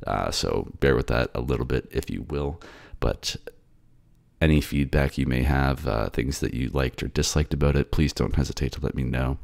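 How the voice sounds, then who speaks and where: A man with an extremely deep voice and a lot of fry, narrating and talking as a voice actor. The recording is close and dry. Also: normal speed, a little mellow, dry recording. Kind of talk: scripted story narration and casual sign-off.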